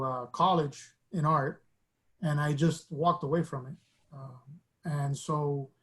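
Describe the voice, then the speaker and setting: Reflective man from Miami, fairly high voice. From Miami, United States. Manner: reflective, rambling with restarts. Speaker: guest artist. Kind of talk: casual interview storytelling.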